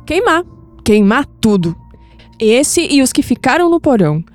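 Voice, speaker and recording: An actress playing an anguished young Brazilian granddaughter in an audio drama, emotional and hesitant, with sighs. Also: female voice, high-pitched, sing-song, measured pace, darkish tone, no echo. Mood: troubled, resolute. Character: anguished, skeptical young granddaughter unsettled by past-life visions. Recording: music bed under the voice.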